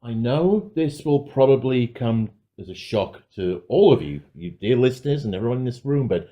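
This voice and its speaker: A man's voice: mid-range voice, animated, normal speed, dark tone, dull audio. A man giving casual review commentary as a podcast panelist, with a wry, dry humour.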